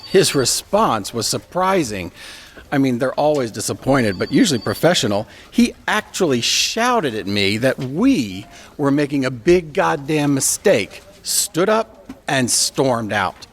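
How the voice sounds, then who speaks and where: A businesslike senior boss in an audio drama. He talks matter-of-factly at an average pitch, as if chatting on a call. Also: very animated, unhurried, breathy edge. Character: matter-of-fact, businesslike male law firm boss on a call.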